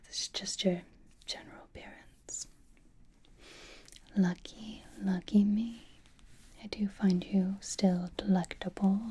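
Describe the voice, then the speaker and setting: A woman's voice: mid-range voice, dark tone. A woman voicing a possessive, flirtatious vampire in an intimate, teasing whispered ASMR roleplay, with dry exasperation. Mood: dryly flirtatious. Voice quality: breathy whisper. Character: possessive, flirtatious vampire doting on captive vampire hunter.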